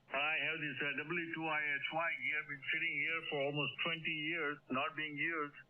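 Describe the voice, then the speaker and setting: A man on a ham radio net, chatting casually and haltingly, with many fillers and repeated words. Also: a little high, flat delivery. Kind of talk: casual on-air radio chat. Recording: dull radio audio with clear static.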